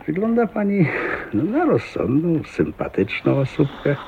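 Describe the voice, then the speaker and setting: An actor in a radio drama playing a talkative, blunt, jovial old self-made millionaire with a husky voice and a folksy manner. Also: male voice, a little high, huge pitch swings, unhurried, darkish tone, a little hiss or hum, heavily acted. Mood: surprised, frank. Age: older. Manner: garrulous, folksy, blunt, with chuckles and exclamations. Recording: muffled thin narrow-band radio recording.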